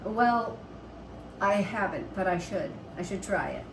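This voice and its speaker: A woman's voice: mid-range voice, animated, a little slow. A woman chatting casually as co-host of a homestead vlog. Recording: roomy echo, background noise.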